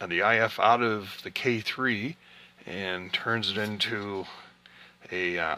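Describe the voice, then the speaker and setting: Low voiced guy, really crisp bright sound, casual ham radio host taking his time explaining stuff. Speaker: amateur radio operator hosting an on-air QSO party.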